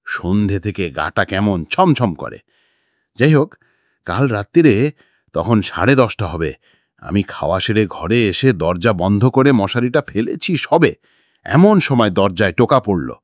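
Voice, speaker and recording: A man's voice: lowish voice, very animated, a little slow, no echo, clean studio sound. A man voicing a nervous, talkative secretary in an audiobook, telling his tale in a wordy, dramatic, pleading way. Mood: uneasy. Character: nervous, talkative male secretary recounting a frightening night visit. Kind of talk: audiobook character dialogue.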